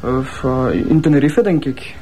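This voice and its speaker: A man's voice: medium pitch, animated, moderate pace, warm tone, soft background music, close to an everyday voice. An actor playing a hesitant, defensive young male militant in a TV crime drama.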